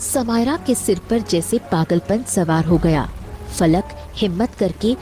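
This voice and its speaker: A woman's voice: mid-range voice, a little slow, mellow, soft background music. A woman narrating a scripted cartoon story as its storyteller.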